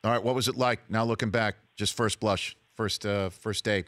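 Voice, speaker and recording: Low-voiced male sports talk host. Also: fairly even intonation, moderate pace, fairly bright tone, dry recording. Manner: conversational, teasing. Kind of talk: radio talk show interview.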